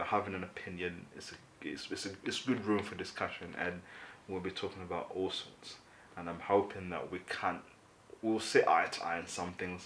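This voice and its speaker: A man's voice: sits low, sharp tone, a little hiss or hum. A man from Uganda co-hosting a casual, opinionated discussion, explaining his views conversationally with frequent "you know". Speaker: talk show co-host.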